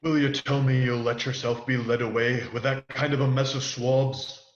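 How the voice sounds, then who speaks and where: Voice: medium pitch, flat delivery, moderate pace, highs cut off, lightly acted. An actor playing a menacing, coaxing pirate ringleader in a radio play, recorded with a roomy echo. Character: menacing one-legged pirate man, mutineer ringleader. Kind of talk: children's theater radio play acting.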